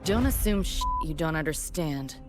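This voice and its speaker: A woman's voice: deep voice, animated, normal speed, loud music behind the voice. A woman playing a curt, guarded young cyberpunk operative in a game, speaking in terse, matter-of-fact lines. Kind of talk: animated game character dialogue.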